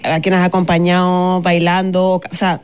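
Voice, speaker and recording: A woman's voice: fairly low pitch, completely flat delivery, moderate pace, a little mellow, smooth. A woman hosting a radio interview in a chatty, playful way, teasing her guests and calling herself nosy. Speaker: radio host.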